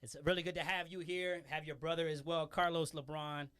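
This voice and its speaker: Man hosting an interview, higher voice, friendly, encouraging questions. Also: flat delivery, fairly quick, a bit bright, clean tone. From born in New York, grew up in Western New York. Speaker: interview host.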